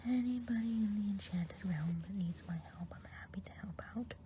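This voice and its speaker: A woman voicing a kindly, chatty good witch of the woods, whispering softly and rambling in an ASMR roleplay. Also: mid-range voice, a little fast, a little hiss or hum, close to an everyday voice. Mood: gentle whisper. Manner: soft whispering, rambling gossip. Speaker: ASMR performer. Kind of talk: ASMR roleplay eye exam.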